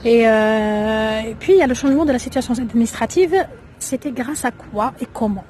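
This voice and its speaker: A woman's voice: fairly high pitch, moderate pace, dark tone. A woman interviewing her guest, asking rambling questions with many fillers. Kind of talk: casual interview.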